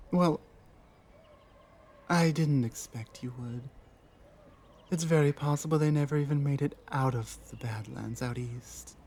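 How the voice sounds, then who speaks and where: Casting: actress as lost orc warrior woman for ASMR roleplay; earnest, wary, unhurried, pausing often. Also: low-pitched, mellow, husky, exaggerated voice. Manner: halting, earnest, deliberate phrasing with pauses. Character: lost, guarded young female orc warrior, earnest and lonely. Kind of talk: ASMR roleplay audio drama.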